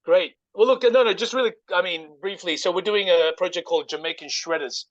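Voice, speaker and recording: Man, slightly high voice, casual guest presenter, halting delivery, dry room, dull call audio. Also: fairly bright tone, no echo. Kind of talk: informal project pitch, casual chat.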